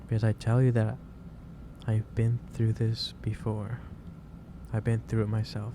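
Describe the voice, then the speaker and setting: A man playing a gentle, caring office boss in an ASMR roleplay, speaking haltingly with repeated phrases over a noisy background. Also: on the low side, completely flat delivery, lightly acted. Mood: gently concerned. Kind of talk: soft-paced ASMR roleplay monologue. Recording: clearly noisy background hiss or ambience.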